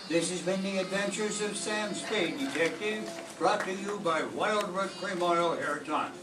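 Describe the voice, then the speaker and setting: A man's voice: sits high, moderate pace. An older man reading as announcer and narrator of old-time radio plays. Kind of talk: scripted 1940s radio announcing. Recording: echoey distant mic, clear background noise.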